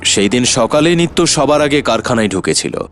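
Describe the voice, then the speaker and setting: An actor playing a shrewd, confident detective in a cartoon mystery, explaining things in a sly, theatrical way. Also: male voice, medium pitch, lively intonation, normal speed, a bit bright, slight background noise, natural voice. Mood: confident, explanatory. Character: shrewd, confident male detective revealing the culprit. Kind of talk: animated detective story acting.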